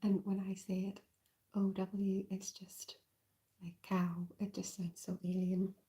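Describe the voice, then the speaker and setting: Woman, soft Northern Irish accent, whispered ASMR, mellow flat voice, halting and rambling. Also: mid-range voice. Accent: Northern Irish, softened and mixed. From Northern Ireland. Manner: halting, rambling, with many fillers. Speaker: livestreamer. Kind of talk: whispered ASMR livestream chat.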